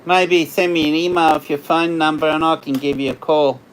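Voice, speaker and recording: An Australian man hosting a casual livestream, chatting with viewers in a rambling way, his voice slightly hoarse after hours of talking. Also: a little high, steady tone, brisk, a little hiss or hum, rough recording. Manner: rambling, chatty, laughs often. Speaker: livestream host. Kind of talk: casual live chat with viewers.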